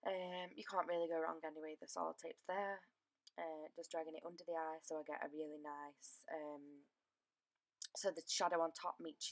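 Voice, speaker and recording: Slightly hoarse woman narrating makeup. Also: lowish voice, dry recording. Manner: casual, chatty, with frequent fillers. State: slightly hoarse from a sore throat. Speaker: beauty vlogger. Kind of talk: makeup tutorial voice-over.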